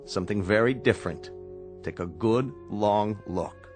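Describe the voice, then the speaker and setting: Man playing grave scientist, low voice, measured, commanding, sardonic, radio drama, faint music behind. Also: lightly acted. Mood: stern, sardonic. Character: grave, stern, sardonic male medical researcher.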